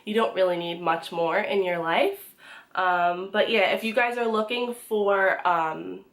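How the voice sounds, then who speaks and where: A young woman, slightly hoarse from a cold, chatting casually to camera as she reviews her monthly beauty favorites, with frequent ums and restarts. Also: medium pitch, a little slow, fairly bright tone. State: hoarse from being sick. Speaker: beauty vlogger.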